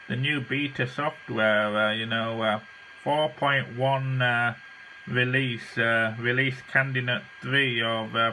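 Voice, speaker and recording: A man talking as an amateur radio operator in a halting, casual way, with frequent hesitations and repeats. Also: mid-range voice, pushed and bright, slight background noise, low-quality recording. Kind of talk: casual ham radio chat.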